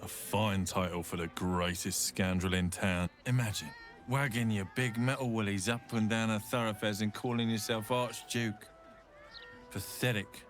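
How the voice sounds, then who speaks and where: An actor playing a shrewd, measured, disdainful merchant in a fantasy video game. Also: male voice, lowish voice, steady tone, faint music behind, lightly acted. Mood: scornful. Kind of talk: video game character acting.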